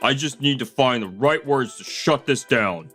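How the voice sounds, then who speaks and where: A man voicing a scheming, panicky CEO who smooths over his lies in a manga dub. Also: medium pitch, lively intonation, moderate pace, a bit bright, faint music behind, lightly acted. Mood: panicked inner thoughts.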